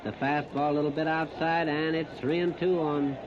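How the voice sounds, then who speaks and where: A man's voice: fairly high pitch, level tone, moderate pace. An American man calls live baseball play-by-play on the radio in short, plain-spoken, folksy phrases. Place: outdoor ballpark. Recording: noisy, muffled 1930s radio broadcast recording.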